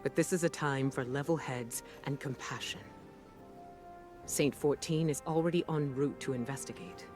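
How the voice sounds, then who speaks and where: Actor as male commander, medium pitch, calm, grave, authoritative, measured game briefing, music underneath. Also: close to an everyday voice. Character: calm, resolute commander concerned for the city. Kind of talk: dramatic game cutscene briefing. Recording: music behind the voice. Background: cinematic music.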